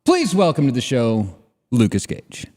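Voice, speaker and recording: A man's voice: mid-range voice, huge pitch swings, unhurried, a little mellow. A man chatting casually as a talk show host, in a reverberant recording. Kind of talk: casual chat.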